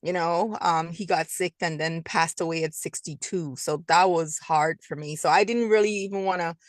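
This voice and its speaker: A woman in her fifties from Guyana, a guest in an interview, speaking softly and hesitantly with many pauses. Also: fairly low pitch, steady tone, dry recording, clean studio sound. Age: 50s. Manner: soft-spoken, hesitant with frequent ums. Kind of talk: casual interview talk.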